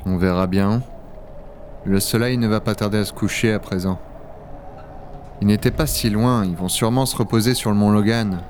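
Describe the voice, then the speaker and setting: A man's voice: fairly low pitch, steady tone, moderate pace, long pauses, darkish tone, slight background noise, lightly acted. A man playing a sceptical dragon hunter who also narrates in a science-fiction audio drama, blunt, sardonic and colloquial. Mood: watchful. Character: Owen, gruff, sceptical male dragon hunter. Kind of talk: French audio drama acting and first-person narration.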